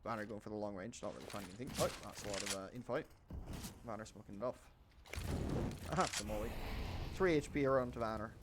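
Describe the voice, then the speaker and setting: Excitable man casting a shooter game. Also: quick pace, long pauses, very noisy. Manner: rises in pitch and volume during fights, calm between rounds. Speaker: esports caster. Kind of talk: live play-by-play commentary. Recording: game gunfire audible behind.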